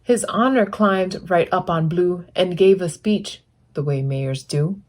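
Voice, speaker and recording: A woman reading a picture book aloud as a volunteer storyteller, animated and putting deliberate emphasis on sound words. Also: fairly low pitch. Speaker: volunteer children's book reader.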